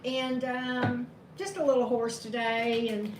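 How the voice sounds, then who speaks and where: A woman with a high, slightly hoarse voice talks casually as a tarot reader on a live stream, greeting her viewers one by one. She is chatty and laughs at her own corny jokes. The sound has a roomy echo and clear background noise. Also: takes it slowly. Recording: echoey room with steady background noise.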